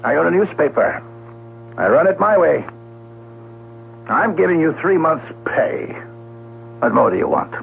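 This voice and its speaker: An imperious middle-aged male newspaper boss in a vintage radio drama. He is curt and scornful, with a creaky edge and big swings in pitch. The recording is tinny and a little noisy. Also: very animated, unhurried, pauses often, full character voice. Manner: blunt, curt, domineering. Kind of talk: 1970s radio drama acting.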